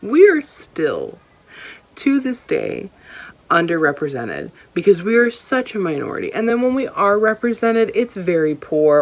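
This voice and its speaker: A young woman reviewing a book on her vlog in a casual, emphatic and opinionated way, often repeating herself for stress. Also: mid-range voice. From Hawaii. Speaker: book vlogger.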